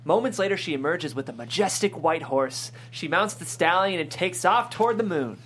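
A woman's voice: lowish voice, lively intonation, normal speed, slightly rough. A woman reading scripted stage directions as the narrator at a table read.

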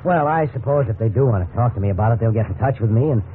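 A man's voice: mid-range voice, animated, very fast, natural voice. An actor playing an easygoing but worried middle-aged family man, talking in a folksy, conversational 1950s radio drama style. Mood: eager, deferential. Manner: conversational, reasoning aloud, folksy. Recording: tinny, noisy 1950s radio recording.

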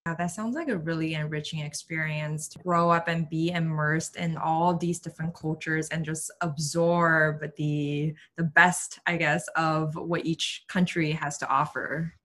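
A woman hosts an interview podcast, her voice sitting somewhat low. She asks curious, open questions, often circling back with "like" and repeated words. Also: fairly even intonation, unhurried, few pauses, fairly bright tone.

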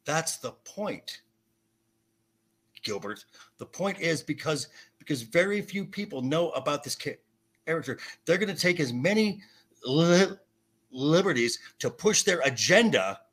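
A man with a bright, forceful and somewhat high voice that has a raspy edge. He argues like an indignant podcast guest, hammering points home and tripping into stammered repetitions. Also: expressive, measured pace. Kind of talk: heated debate about comic adaptations.

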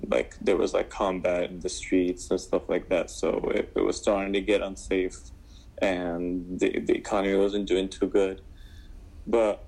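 A man's voice: deep voice, vocal fry, a little mellow, poor audio. A young man from Syria answering interview questions casually, with many fillers and restarts. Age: 20s. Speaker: guest.

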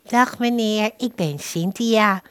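Woman acting as female salon worker, courteous, businesslike, medium pitch, radio drama dialogue. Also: slow pace, a bit bright, clean tone. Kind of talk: Dutch amateur radio play dialogue.

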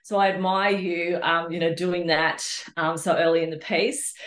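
A woman's voice: on the low side, steady tone, normal speed. A middle-aged Australian woman talking casually as a guest in a podcast interview. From Australia, New South Wales. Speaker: podcast guest, social worker. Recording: roomy, echoing sound.

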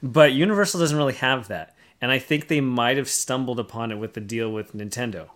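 A man's voice: medium pitch, brisk, fairly bright tone. A man hosting a podcast in a casual panel discussion, talking in an explanatory way and posing rhetorical questions. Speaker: podcast host.

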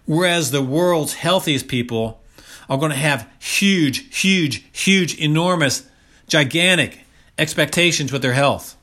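A man's voice: on the high side, unhurried, sharp tone. A man of about sixty giving a motivational health talk, speaking emphatically and repeating key phrases for stress. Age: around 60.

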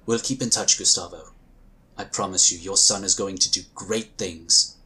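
An actor playing a courteous, reassuring inquisitor of a magical order in a sci-fi audio drama, speaking in formal, measured, polite phrases. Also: male voice, sits low, steady tone, sharp tone, breathy edge, heavily acted. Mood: gentle, welcoming.

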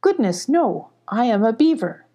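A woman's voice: a little high, big pitch swings, moderate pace, warm tone, voice pushed up. A woman voicing a polite, apologetic, hesitant male beaver neighbor in a children's storybook. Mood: earnest. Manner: hesitant, courteous.

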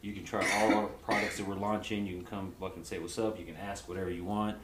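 A man's voice: sits low, level tone, fast, roomy sound, heavy background noise. A man in his forties from Texas, talking casually about his cannabis brand. He swears freely and says "bro" a lot. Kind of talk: casual podcast chat.

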